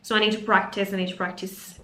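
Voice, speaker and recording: A woman's voice: mid-range voice, little pitch movement, fast, bright, forceful tone, echoey room, slight background noise. A woman from Mauritius with a Mauritian accent, a career coach talking unscripted to her camera in an earnest, reflective way, with frequent fillers. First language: Mauritian Creole. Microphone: camera mic.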